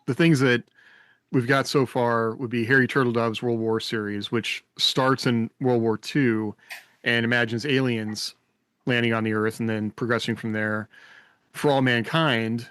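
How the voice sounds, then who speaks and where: A man's voice: medium pitch, fairly bright tone, smooth, dry recording. A man hosting a casual panel chat, thinking aloud in a somewhat hesitant way. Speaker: podcast host.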